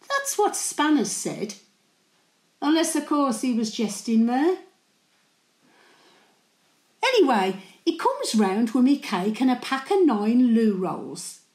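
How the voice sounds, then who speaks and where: An older woman playing a chatty, cheeky, warm-hearted working-class Birmingham great-grandmother, gossiping and rambling through a monologue. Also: a little high, roomy sound. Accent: Birmingham (Brummie). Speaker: actor performing a dramatic monologue.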